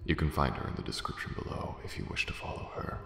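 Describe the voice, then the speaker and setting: A man with a deep, gravelly voice reading a scripted channel outro. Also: fast, fairly bright tone, roomy sound, big-room sound, a little hiss or hum. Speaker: writer and voice actor of the channel.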